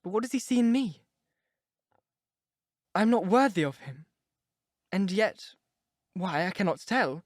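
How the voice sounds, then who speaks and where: A man's voice: high voice, lively intonation, measured pace, pauses often, no room sound, clean studio sound, pitched above the performer's own voice. A man voicing an eager, lovestruck young woman in a read-aloud story. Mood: adoring.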